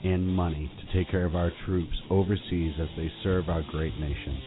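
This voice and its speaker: A man with a very deep voice reads an upbeat promotional spot, with music playing clearly behind him. The sound is lo-fi and phone-like. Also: steady tone, moderate pace. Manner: upbeat pitch-style delivery. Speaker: radio host reading a promo. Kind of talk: scripted promotional read. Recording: lo-fi audio over music.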